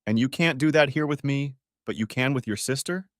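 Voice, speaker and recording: A man's voice: medium pitch, brisk, dry recording, studio quality, voice pushed up, close to an everyday voice. A man voicing a fed-up, sarcastic middle-aged husband in a narrated story. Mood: angry, fed up.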